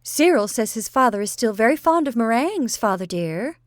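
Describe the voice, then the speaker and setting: A prim, anxious spinster daughter, as a woman reading a story puts her on, speaking in a raised, careful voice. She is bright and proper. The recording is dry and close. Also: mid-range voice, expressive, normal speed, no echo. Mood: coaxing. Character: fussy, anxious middle-aged spinster daughter.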